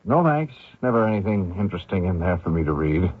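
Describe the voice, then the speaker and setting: A man's voice: deep voice, sing-song, quick pace, husky, exaggerated voice. An actor playing an anxious young male fugitive, hesitant, polite and evasive, in old-time radio drama style. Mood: guarded. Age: young adult. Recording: noisy lo-fi old radio recording.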